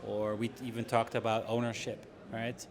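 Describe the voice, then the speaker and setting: A man's voice: lowish voice, flat delivery, normal speed, noisy background. A man hosting a casual interview, friendly, often searching for words with fillers and restarts. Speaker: podcast host interviewer.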